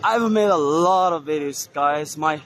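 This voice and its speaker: A hoarse man walking through an indoor trade fair hall, giving casual commentary on the stands with many fillers and pauses. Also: a little high, a bit bright, slight background noise. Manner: unhurried, halting, many fillers. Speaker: vlogger touring a trade fair.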